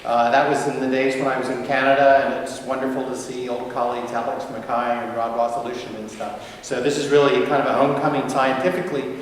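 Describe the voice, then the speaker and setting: A man's voice: mid-range voice, level tone, fast, few pauses. Older man, rambling friendly lecture, long hall reverb, faint room hiss. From United States. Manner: rambling, friendly, many fillers and restarts. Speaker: guest lecturer. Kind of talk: academic lecture with anecdotes. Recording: echoey hall from far off.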